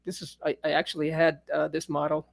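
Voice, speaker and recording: High-voiced man with a creaky edge. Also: level tone, fast talker, no room sound, clean studio sound. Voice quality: high speaking voice. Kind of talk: casual chat.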